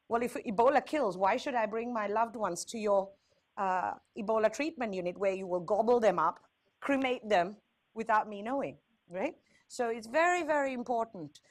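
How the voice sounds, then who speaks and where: A woman with a Sri Lankan accent presenting at a conference, candid and wry, stressing points with repetition and checking in with short tag questions. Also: medium pitch. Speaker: health agency speaker, risk communication expert.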